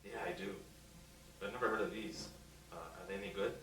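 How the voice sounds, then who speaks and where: An actor playing a shy, gentle man who speaks softly and hesitantly, full of pauses, recorded from a distance. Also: lowish voice, reverberant, a little hiss or hum, close to an everyday voice. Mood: awkward. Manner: soft-spoken, hesitant, awkward. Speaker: neighbor invited to dinner. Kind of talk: film dialogue. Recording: distant mic.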